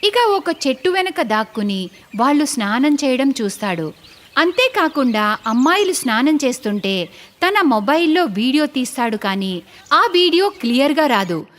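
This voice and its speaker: A woman's voice: high voice, sing-song, normal speed. A woman narrating a scripted story in a dramatic storytelling style.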